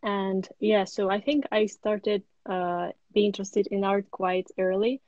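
Young woman, flat steady voice. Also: medium pitch, unhurried, no echo, highs cut off. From Russia. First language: Russian. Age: young adult. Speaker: guest artist. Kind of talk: casual interview answers. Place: indoor room at home. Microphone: video call on phone.

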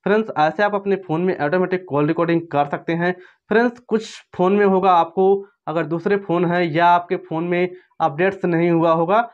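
A man's voice: high voice, fairly even intonation, a little fast. A man narrating an informal step-by-step tutorial in repetitive, instructional phrasing. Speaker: phone tutorial presenter. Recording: dull, lo-fi voice-over.

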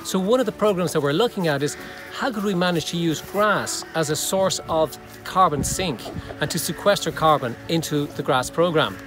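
A man, a professor giving a brisk outdoor talk to prospective students from a cow pasture. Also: fairly high pitch. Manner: brisk, list-like explaining. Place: open pasture outdoors with cows around. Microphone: phone or camera held close at selfie range. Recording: music bed under the voice.

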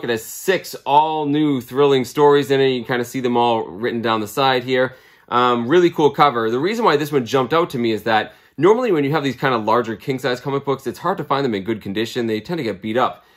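A man's voice: mid-range voice, brisk. Man in his thirties, chatty upbeat host, casual show-and-tell from a small room, close to the camera. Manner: chatty, upbeat, lots of fillers. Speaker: comic book channel host. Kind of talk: casual video blog, showing items. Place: small room lined with comic books. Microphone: camera at close range.

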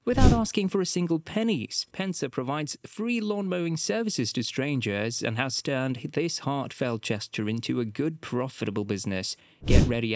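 A man's voice: medium pitch, no echo. A man reading a scripted documentary voiceover as the narrator, with an upbeat, informative storytelling delivery on a close studio mic.

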